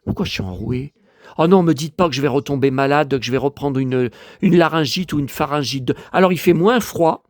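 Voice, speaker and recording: An older man from France with a hoarse voice, grumbling and talking to himself as he shows his latest finds to viewers in a casual monologue. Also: fairly high pitch, a little fast, a little mellow, no room sound, studio quality. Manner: grumbling, exasperated, talks to himself. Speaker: vlogger showing flea-market finds.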